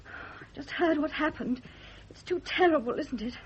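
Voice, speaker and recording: An actress playing an anxious, devoted young wife in a vintage radio drama. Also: female voice, high-pitched, animated, moderate pace. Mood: tender, troubled. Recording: dull, hissy vintage radio recording.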